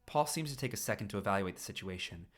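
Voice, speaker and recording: A man's voice: fairly low pitch, expressive, fast. A man reading a story aloud expressively on a stream, now and then tripping over a phrase and repeating it. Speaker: fan fiction reader on a livestream.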